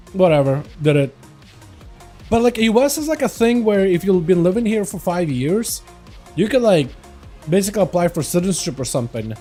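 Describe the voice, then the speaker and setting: Chatty streamer with a slight Russian accent. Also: male voice, a little high, dark tone. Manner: rambling, chatty, answers chat questions, frequent "like" and laughs. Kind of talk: casual livestream chat while gaming.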